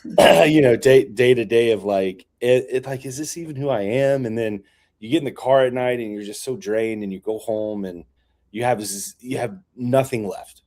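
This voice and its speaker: A man speaking casually as a podcast guest, plain-spoken and pausing mid-thought for emphasis. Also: mid-range voice, a little mellow. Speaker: podcast guest, real estate leader.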